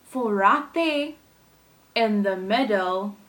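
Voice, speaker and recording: A woman who teaches young children, telling a story with expressive, deliberate phrasing. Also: mid-range voice, poor audio. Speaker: primary school teacher.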